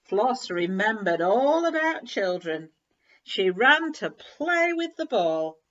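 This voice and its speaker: A woman reading a children's story aloud in an animated, measured storytelling manner. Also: medium pitch. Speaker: story reader. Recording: slightly dull, lo-fi recording.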